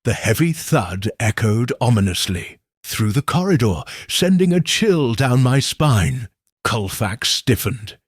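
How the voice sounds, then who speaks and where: A man narrating a detective story in the first person with slow, expressive delivery. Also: mid-range voice, husky, dry recording, clean studio sound. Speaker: audiobook narrator.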